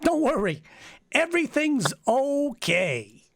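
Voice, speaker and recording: A man's voice: very high voice, big pitch swings, slow, creaky, heavy background noise, heavily acted. A man playing a killer who answers the telephone with placating, false reassurance in old-time radio thriller style. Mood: coolly placating.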